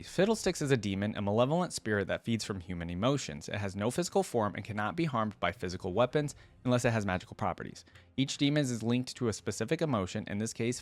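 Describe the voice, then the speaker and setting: A man hosting a reaction video in a casual, conversational way, thinking aloud as he comments and reads along. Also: fairly low pitch. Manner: conversational, thinks aloud, asks viewers questions.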